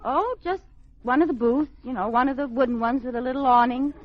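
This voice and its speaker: An actress playing a coy, sweetly scheming housewife with an American accent in an old radio sitcom. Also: female voice, high-pitched, fairly quick, natural voice. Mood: evasive, coy. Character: coy, scheming housewife feigning breezy unconcern. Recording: muffled, noisy old radio recording.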